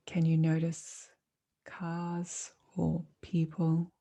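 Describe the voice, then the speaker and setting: Slow, low-voiced woman guiding meditation. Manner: calm, soothing, measured delivery with long pauses. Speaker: meditation teacher. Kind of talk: guided meditation.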